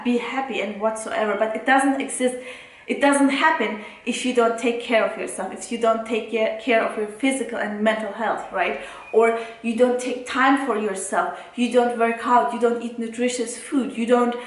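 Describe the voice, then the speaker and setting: A woman from Turkey with a Turkish accent, giving a casual motivational talk to camera in a blunt, emphatic way, often checking for agreement. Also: fairly high pitch. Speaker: self-care and productivity vlogger. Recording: very echoey, reverberant room.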